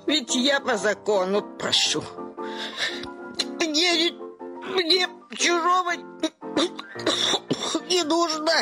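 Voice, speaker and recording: A woman's voice: sits high, extremely slow, pauses often. An actress playing a stubborn, whining old petitioner woman in a radio play, folksy and repetitive, wheedling and scolding. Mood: wheedling, plaintive. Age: older. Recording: music behind the voice.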